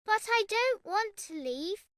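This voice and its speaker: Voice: very high-pitched, expressive, slow pace, pushed and bright, no echo, clean studio sound, full character voice. A performer voicing a sweet, timid little girl in a children's bedtime story. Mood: sweet, earnest.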